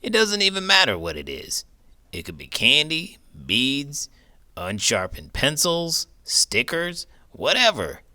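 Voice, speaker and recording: A man's voice: fairly high pitch, huge pitch swings, slow, bright, forceful tone, pitched above the performer's own voice, heavily acted. A man voicing a blunt, food-loving old cowboy sidekick in comic banter on a children's show. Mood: opinionated, enthusiastic. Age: older.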